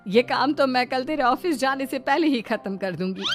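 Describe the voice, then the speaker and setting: A woman's voice: sits high, lively intonation, normal speed. A woman voicing a humble, hard-working middle-aged mother-in-law in a Hindi cartoon story. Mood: pleased. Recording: music behind the voice.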